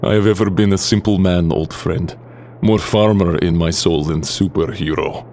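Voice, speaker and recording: An actor playing a big, gentle, doubting Russian husband in an audio drama, speaking earnestly in formal, deliberate phrases. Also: male voice, deep voice, a little hiss or hum. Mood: wistful.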